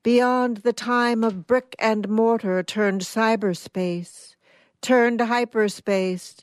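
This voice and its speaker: A woman's voice: fairly high pitch, steady tone, slow pace, smooth, dry recording. A woman guest reader introducing and reading short stories aloud.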